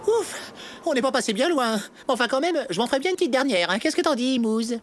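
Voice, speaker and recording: A woman voicing a chatty, excitable, greedy little animal in a French cartoon, exclaiming and cheeky. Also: high voice, a little fast, heavy background noise. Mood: cheery.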